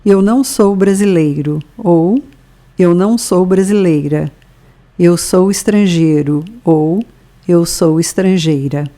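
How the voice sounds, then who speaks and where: A woman with a Brazilian accent leads a scripted language lesson. She reads each phrase very slowly and deliberately, leaving room for the listener to repeat it. Her voice is mellow and sits a little low. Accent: Brazilian Portuguese. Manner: slow, careful bilingual reading with pauses for repetition. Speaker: language teacher.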